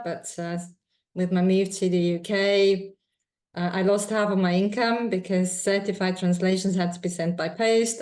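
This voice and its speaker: A woman from Austria with an Austrian accent, speaking as a guest nutritionist in a calm, measured and reflective way. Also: lowish voice. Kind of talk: interview answers.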